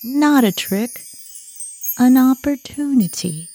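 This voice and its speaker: A woman playing a calm advocate who rescues banned books in a stage puppet musical, speaking in a measured, proclamatory way. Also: high voice, heavily acted. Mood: hopeful, inviting.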